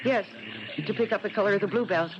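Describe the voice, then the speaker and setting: An actress playing a polite, sentimental young American wife in an old-time radio drama. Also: female voice, medium pitch, fast talker, close to an everyday voice. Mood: amazed, touched. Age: young adult. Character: polite, sweet, sentimental young newlywed woman. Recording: dull, noisy vintage radio recording.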